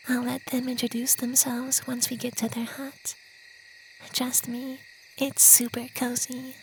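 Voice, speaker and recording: Slow, high, whispery gentle vampire played by a man. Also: completely flat delivery, slight background noise, low-quality recording. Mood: gentle, confiding. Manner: soft, breathy, half-whispered, slow and soothing. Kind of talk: ASMR roleplay.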